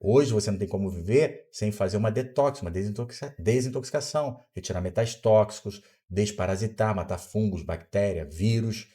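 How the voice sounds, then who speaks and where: Lower-voiced man in his fifties, lecturing. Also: a little fast, few pauses, dark tone. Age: 50s. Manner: didactic, asks himself rhetorical questions and answers them. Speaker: doctor and author, podcast guest. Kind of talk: explanatory interview talk.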